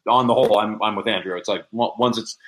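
A man with a somewhat low voice talks casually as a guest in an interview. He thinks out loud as he goes, with a folksy, conversational turn of phrase. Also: fast. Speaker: interview guest, audio drama producer.

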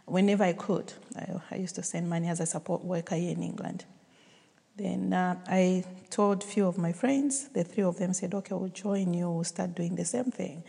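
A woman from Zambia telling her story in a casual interview, pausing often with ums and uhs. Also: on the low side, steady tone, vocal fry, dark tone, breathy edge. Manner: hesitant, many ums and uhs, quotes herself. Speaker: charity founder, guest. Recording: long hall reverb.

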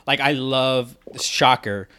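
A man's voice: medium pitch, slow pace, pushed and bright, clear, resonant tone. A man co-hosting a podcast, talking through a fan analysis in an emphatic way and acting out imagined reactions. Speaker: podcast co-host.

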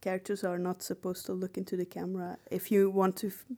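A woman's voice: fairly low pitch, monotone, quick pace, mellow. A woman talking casually as a podcast co-host in a conversational discussion.

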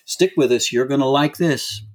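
A man hosting a podcast, talking in a relaxed, chatty way with frequent fillers. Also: mid-range voice, normal speed. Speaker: podcast host. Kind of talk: podcast conversation.

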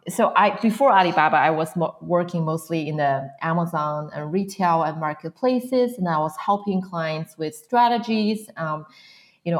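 A woman from northern China answers interview questions in English, her voice sitting a little low. She is in her thirties and fills her sentences with frequent "um"s, laughing softly now and then. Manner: frequent "um" fillers, soft laughs. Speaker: guest.

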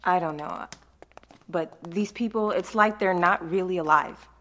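A woman's voice: fairly low pitch, unhurried, a bit bright, slight background noise. A woman playing a practical, matter-of-fact leader of zombie survivors in an amateur audio drama.

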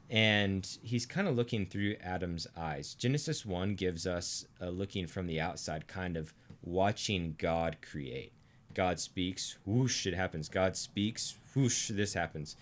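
A deep-voiced American man explains theology in a conversational teaching style. His delivery is deliberate and emphatic, with weighty pauses between phrases. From Arkansas, United States. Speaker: teacher and co-host of a theology series.

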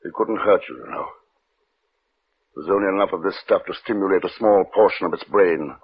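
An actor playing a driven, secretly frightened scientist, intense and insistent, in old-time radio drama style. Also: male voice, deep voice, fairly quick, slight background noise, lightly acted. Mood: focused. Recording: muffled, phone-thin old radio recording.

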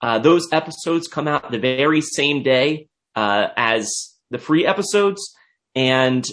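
A hoarse young man hosting a podcast, speaking casually and hesitantly. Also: mid-range voice, expressive, slow, a bit bright. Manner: hesitant, lots of uh fillers. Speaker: podcast host.

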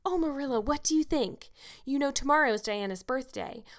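A woman's voice: high-pitched, expressive, fairly quick, pitched above the performer's own voice. A woman voicing an eager, chattering young orphan girl who pleads breathlessly. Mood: excited.

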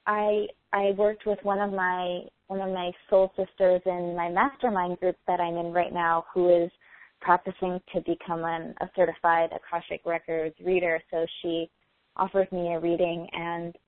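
An earnest woman answers interview questions in a casual, halting way and often starts her phrases over. Her voice sits fairly low. The line is thin and phone-like, with a dry sound. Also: level tone, no echo. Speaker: brand designer and guest.

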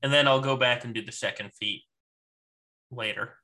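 A man with a mid-range, bright and forward voice chats as co-host of a gaming podcast. His delivery is informal and conversational. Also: mid-range voice, moderate pace. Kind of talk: casual chat about a mobile game.

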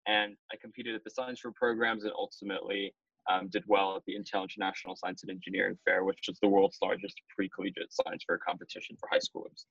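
A man's voice: low-pitched, little pitch movement, fast talker, vocal fry, a bit bright, slightly rough, no echo. A young man from Malaysia, a podcast guest, explaining in long, orderly sentences. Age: young adult. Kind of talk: podcast interview. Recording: slightly dull, dry sound.

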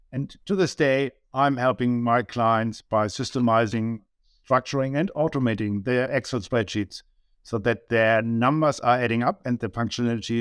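A man's voice: mid-range voice, clear, resonant tone, no echo, studio quality. A man in his sixties from Germany with a German accent, talking earnestly as an interview guest and often restarting his sentences. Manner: earnest, many small restarts. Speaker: guest, business owner. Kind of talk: casual interview talk.

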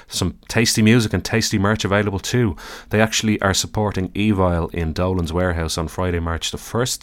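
Low, soothing Irish man, dry close sound. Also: dry recording. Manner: easygoing, rambling, dry self-deprecating humour. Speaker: podcast host. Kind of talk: casual solo podcast monologue.

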